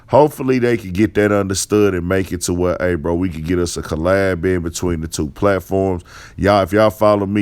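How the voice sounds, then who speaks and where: A man's voice: deep voice, a little mellow, clean tone. A man hosting an online show with casual, off-the-cuff commentary in a slangy, conversational way. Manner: slangy, conversational, repeats "you know".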